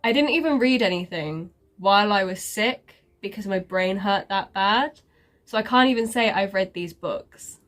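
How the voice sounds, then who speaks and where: Woman vlogger, slow and congested, sarcastic and rambling in a casual update. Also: medium pitch, a bit bright. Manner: sarcastic, self-mocking, rambling with many fillers. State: congested, stuffy nose. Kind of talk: casual life-update chat.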